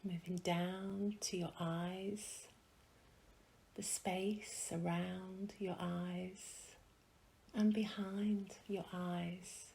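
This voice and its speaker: Female meditation guide: very slow, gentle and hushed, some creak in the voice, faint noise behind. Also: fairly low pitch, little pitch movement. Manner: soft, soothing, gentle delivery with long pauses.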